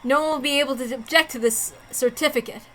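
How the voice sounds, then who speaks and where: Grand wedding-presiding lord voiced by a woman, formal, bright and proclaiming. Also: high-pitched, fast talker. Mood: solemn, proud.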